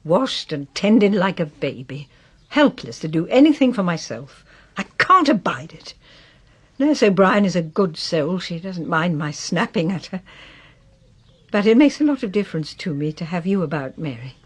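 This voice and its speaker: An actress playing a proud, wistful English widow in her sixties who is ailing, in a solemn, measured radio drama style. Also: on the low side, big pitch swings, husky. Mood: wistful, weary. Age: 60s.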